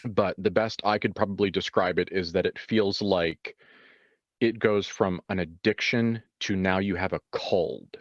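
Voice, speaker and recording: A man improvising horror narration as a tabletop game master, halting and informal as he searches for words. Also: low voice, fairly even intonation, no room sound, muffled recording.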